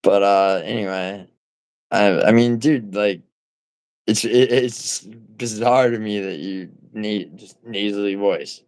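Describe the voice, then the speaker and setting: A man's voice: on the low side, fairly even intonation, takes it slowly, a little mellow, clear, resonant tone, no room sound, low-quality recording. A man from New City, chatting on a livestream in a rambling, profane way with lots of fillers and restarts. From New York City. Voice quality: nasal. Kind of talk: casual livestream chat, reading viewer comments.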